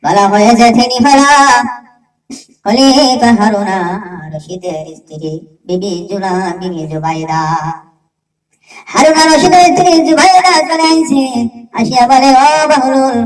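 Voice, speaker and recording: A woman's voice: a little high, a little slow, long pauses, recorded from a distance, low-quality recording. A woman telling a religious story in a dramatic narration, with emphatic repetition of phrases. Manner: emphatic, repeats phrases for effect.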